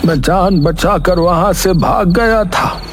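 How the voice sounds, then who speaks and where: A man voicing a solemn, wise old man in a cartoon, with an earnest, drawn-out delivery. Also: high-pitched, slight background noise. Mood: grave. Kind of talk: dramatic Hindi cartoon dialogue.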